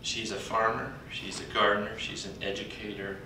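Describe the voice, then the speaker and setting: Older man at a podium, echoey and distant. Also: little pitch movement. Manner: folksy, warm-humored, halting with pauses. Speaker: conference speaker introducing a colleague. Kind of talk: speaking at a podium. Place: large conference hall, indoors. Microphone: podium mic, recorded from afar by a computer. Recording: distant echoey hall recording with background rumble.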